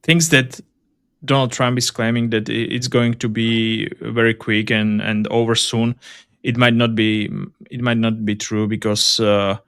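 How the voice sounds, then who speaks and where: Male podcast interviewer, smooth clean voice, very dry sound, casual and halting phrasing. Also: mid-range voice, little pitch movement, a bit bright, no room sound.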